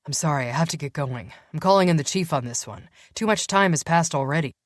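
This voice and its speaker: A woman's voice: low voice, lively intonation, fast, dry recording, clean studio sound. Woman voicing a weary vampire cop. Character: guarded male vampire police detective, weary.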